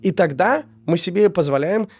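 A man's voice: sits high, expressive, normal speed, darkish tone, no echo. A man getting over a cold, talking earnestly in a casual interview and repeating key words with many fillers. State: recovering from a cold. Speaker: business owner, guest.

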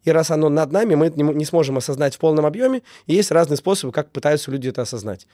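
A man's voice: on the high side, animated, racing, mellow, dry recording, studio quality. A man with a slight cold speaking casually and reflectively as an interview guest, emphatic, repeating phrases in lists. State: getting over a cold. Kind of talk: casual reflective talk on relationships.

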